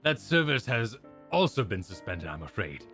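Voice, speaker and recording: A man reading the lines of a stiff, apologetic male custodian in a slow, formal manner. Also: fairly low pitch, very animated, a bit bright, faint music behind. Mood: stiffly formal.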